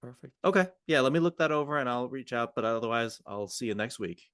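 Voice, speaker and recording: Man, dry close sound, average pitch, conversational podcast co-host, informal, collaborative. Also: animated, fast, fairly bright tone, no echo. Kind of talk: roleplay and conversational coaching discussion.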